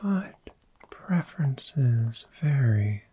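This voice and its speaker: A performer voicing a gentle, friendly dragon in human form for a sleep ASMR roleplay, in a soft breathy whisper with unhurried, soothing pauses. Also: medium pitch, big pitch swings, full character voice. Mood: soothing, informative.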